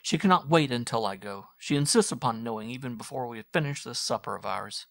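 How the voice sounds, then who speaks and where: A man's voice: medium pitch, animated, fast talker, creaky, fairly bright tone, no echo, clean studio sound, voice pushed up. A man voicing a young French soldier son who jokes to deflect his mother's questions. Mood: bantering. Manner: light, joking, deflecting.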